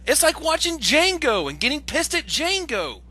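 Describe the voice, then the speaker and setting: An excitable man with a very bright, piercing voice talks casually on a voice chat. His pitch sits high and moves around a lot. He sounds exasperated, stammering and swearing as he gets worked up. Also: moderate pace. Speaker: guest commentator on an online video. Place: online voice call.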